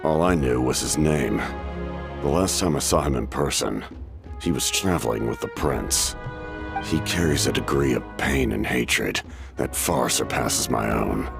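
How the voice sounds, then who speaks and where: Very deep, gravelly ancient warrior. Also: male voice, over music, full character voice. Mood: somber, reflective. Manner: grave, measured, formal. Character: ancient cursed male masked warrior, stoic, weary and honorable. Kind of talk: video game voice acting.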